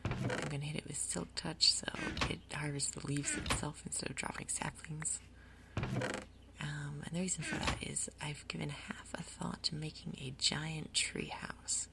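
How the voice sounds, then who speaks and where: Female ASMR gaming host: hushed half-whisper, heavy vocal fry, breathy, relaxed pacing of murmured asides. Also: low-pitched, a little slow. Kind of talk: soft-spoken ASMR game commentary.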